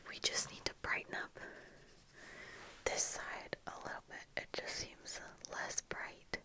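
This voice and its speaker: A young woman speaking in a breathy whisper, chatting casually in ASMR style while doing her makeup, close to the microphone. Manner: slow, soft-spoken, pausing while applying makeup. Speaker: ASMR video creator. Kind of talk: whispered ASMR get-ready-with-me chat. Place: small indoor room with bookshelves. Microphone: close fluffy-covered desk microphone.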